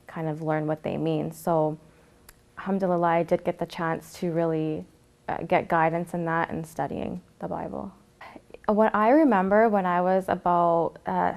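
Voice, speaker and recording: A young woman from Canada calmly telling her personal story, reflective and soft-spoken with frequent pauses and fillers. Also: lowish voice. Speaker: interviewee sharing her story. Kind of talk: personal testimony.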